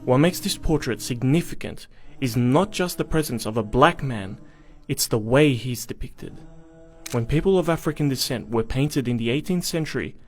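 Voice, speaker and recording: A man's voice: medium pitch, a little mellow. Male documentary narrator over prominent music.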